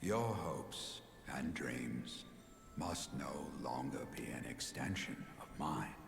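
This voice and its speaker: A grave old sage in a fantasy game cutscene. His deep voice rises and falls expressively through formal, archaic phrases that fade to a hush, all in a long cavernous reverb with music behind. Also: heavily acted. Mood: solemn, earnest. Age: older. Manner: grave, archaic, measured, with breathy hushed phrase endings. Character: solemn, tender old sage, a dying grandfather. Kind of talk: scripted dramatic game cutscene acting.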